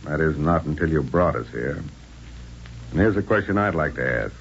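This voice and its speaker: Dull noisy old radio recording, cool American adventurer, deep gravelly voice, dry, sardonic. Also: male voice, lively intonation, moderate pace, heavily acted. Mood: sardonic, unruffled. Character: cool, tough, wisecracking adventurer held prisoner. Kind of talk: 1940s radio acting.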